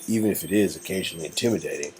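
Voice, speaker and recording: A man's voice: deep voice, very fast, darkish tone, soft background music, rough recording. A man reading scripted second-person romance narration and dialogue from an interactive story. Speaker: narrator and co-reader.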